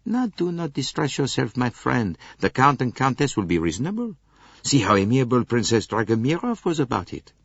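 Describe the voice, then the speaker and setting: A man's voice: mid-range voice, very animated, moderate pace, vocal fry, breathy edge, no room sound, highs cut off, voice pushed up, exaggerated voice. A man voicing a shrewd, self-assured Belgian detective with a French accent, precise and courteous, in an audiobook. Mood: reassuring.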